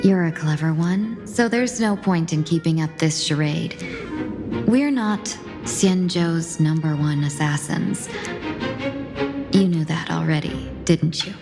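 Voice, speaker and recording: A cool, blunt agent. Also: female voice, low-pitched, slow. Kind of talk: video game voice acting. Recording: game audio with music.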